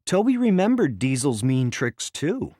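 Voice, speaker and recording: A man reading a children's read-along story as a scripted, dramatic and expressive narration. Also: on the high side, measured pace, no echo, clean studio sound.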